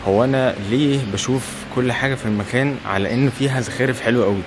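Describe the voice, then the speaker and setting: A man's voice: medium pitch, quick pace, noisy background. A man in his twenties with an Egyptian accent, chatting casually and emphatically to camera as a travel vlogger, often repeating himself. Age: 20s. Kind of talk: casual vlog chat to camera. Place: old stone mosque, arcades and open rooftop. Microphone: camera held at arm's length.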